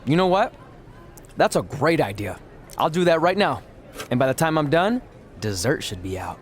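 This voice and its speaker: A naive, wisecracking young male wrestler in a game cutscene. His voice sits high and bounces around freely. He chatters with boyish, earnest eagerness. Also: sing-song, moderate pace, a little hiss or hum. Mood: casual, upbeat. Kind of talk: video game cutscene acting.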